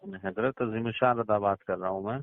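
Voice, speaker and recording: A man's voice: lowish voice, a little fast, gravelly, dry recording. Deferential male caller on a tinny phone line. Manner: deferential, polite, probing. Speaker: caller questioning a cleric. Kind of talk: respectful phone inquiry. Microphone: phone call.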